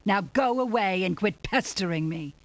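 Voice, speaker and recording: A woman's voice: mid-range voice, animated, moderate pace, muffled recording, lightly acted. A woman voicing a flirtatious, catty bar woman for a video game, with a coy, teasing, seductive delivery. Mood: scornful. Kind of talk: video game character acting.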